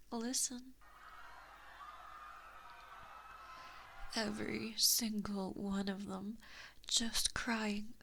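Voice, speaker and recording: A woman's voice: a little high, a bit bright, heavily acted. A woman voicing an evil, possessive giant kitsune in a whispered ASMR roleplay, teasing and cruel, close on a binaural mic. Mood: sadistic, teasing. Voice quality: whispery, breathy. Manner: slow, taunting, mock-sweet. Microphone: close binaural microphone.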